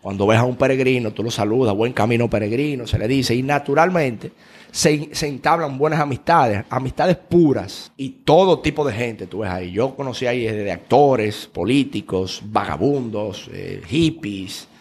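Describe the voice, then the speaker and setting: A man's voice: medium pitch, normal speed, darkish tone, slightly rough. A young adult man with a Dominican accent, telling stories conversationally as an interview guest. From Dominican Republic. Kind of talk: casual conversational storytelling.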